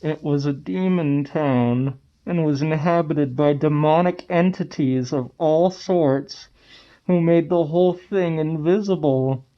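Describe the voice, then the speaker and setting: A man's voice: a little high, takes it slowly, dull audio, voice pushed up. A man voicing an aggrieved, paranoid townsperson in a weird-fiction audiobook, emphatic and complaining. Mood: aggrieved, alarmed. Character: aggrieved, paranoid lounger warning of demons.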